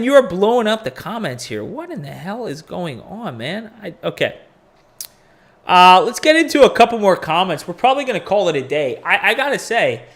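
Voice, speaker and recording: A man's voice: fairly high pitch, fairly bright tone. A man in his forties hosting a crypto live stream, talking casually and candidly, rambling with profane asides. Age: 40s. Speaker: crypto podcast host.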